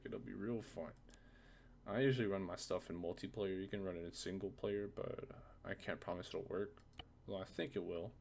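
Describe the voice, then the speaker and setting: A man presenting a casual software tutorial, thinking aloud in an informal way with a gravelly voice. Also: lowish voice, a little hiss or hum.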